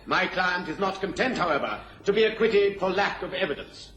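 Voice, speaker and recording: An actor playing a pompous, theatrical defence barrister, declaiming in a radio drama courtroom. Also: male voice, sits high, expressive, normal speed, a bit bright, reverberant, dull audio. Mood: scornful, oratorical.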